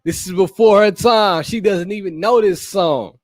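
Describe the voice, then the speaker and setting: A young man hosts a casual podcast in playful, slang-heavy banter and keeps the energy high. His voice sits high for a man. The sound is close and dry. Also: moderate pace, no room sound, faint music behind. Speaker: podcast host. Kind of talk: casual chat with spouse.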